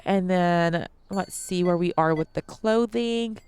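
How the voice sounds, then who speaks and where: Slow-talking stuffy-nosed woman gamer. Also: fairly low pitch, darkish tone, smooth. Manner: chatty, addresses viewers as guys, frequent ums and restarts. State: slightly stuffy nose. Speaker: gaming channel host. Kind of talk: casual let's-play commentary.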